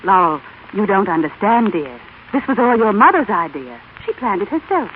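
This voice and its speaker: An actress playing a gracious, refined, kindly stepmother with a gentle, well-spoken manner in an old radio drama. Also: medium pitch, sing-song, moderate pace, natural voice. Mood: gentle, persuasive. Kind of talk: 1930s-40s radio drama acting. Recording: tinny, noisy old radio recording.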